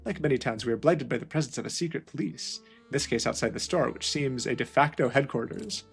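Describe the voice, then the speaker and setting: A man's voice: medium pitch, fairly quick, creaky, soft background music, natural voice. A man reading as a chatty village wine shopkeeper. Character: friendly male Chilean village wine shopkeeper.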